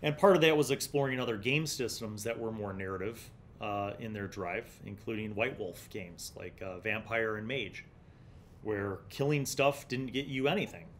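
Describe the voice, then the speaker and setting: A man's voice: lowish voice, normal speed, a little hiss or hum. Man in his forties, explaining. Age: 40s. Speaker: host of a gaming review series. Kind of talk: magazine read-aloud with conversational commentary. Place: indoor studio set. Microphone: desktop microphone.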